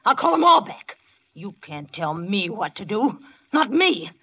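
An actress playing a defiant wife who shouts that the house and friends are hers, in 1940s radio drama style. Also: female voice, mid-range voice, very animated, a little slow, breathy edge, full character voice. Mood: shrill defiant. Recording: dull old radio broadcast.

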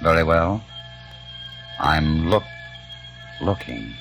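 A man's voice: very deep voice, expressive, very slow, fairly bright tone, heavily acted. An actor playing a mysterious man who seems to know the future, in an old radio drama, talking in a soothing, persuasive way. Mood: hushed. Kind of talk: 1940s radio acting. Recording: old radio recording with music bed.

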